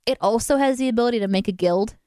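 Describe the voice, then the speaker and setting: A woman's voice: on the high side, very fast, warm tone, clear, resonant tone, dry recording, studio quality. A woman co-hosting a live stream in casual, giggly chat. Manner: chatty, giggly.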